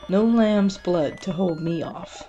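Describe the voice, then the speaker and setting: A woman's voice: fairly low pitch, slow pace, mellow, dull audio. A woman giving a cold, menacing, clinical first-person narration of a horror story. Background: music.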